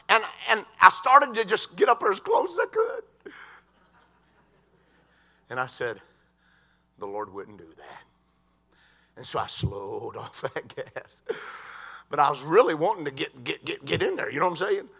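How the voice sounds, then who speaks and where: A man preaching a sermon in a church, swinging from quiet talk to shouted crescendos. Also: high voice, very animated, measured pace, gravelly, pauses often.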